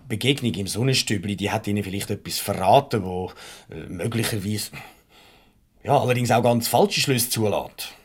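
An actor with a Swiss German accent playing an awkward, patronising middle-aged married boss in a radio comedy, hemming and ingratiating. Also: male voice, fairly low pitch, a bit bright, husky, full character voice. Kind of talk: light radio comedy play acting.

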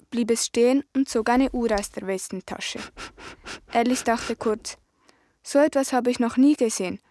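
Woman narrating a storybook, fairly high voice, reading from a script. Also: slight background noise.

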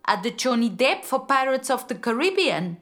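A woman's voice: high voice, very animated, moderate pace, fairly bright tone, soft background music, voice pushed up. A woman voicing an earnest, shy young boy in a children's story. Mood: nervous, curious.

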